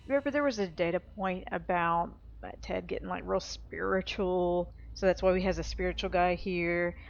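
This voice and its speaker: A woman's voice: on the low side, normal speed, gravelly, highs cut off. A woman giving casual gameplay commentary, chatty and explanatory, often hedging her thoughts.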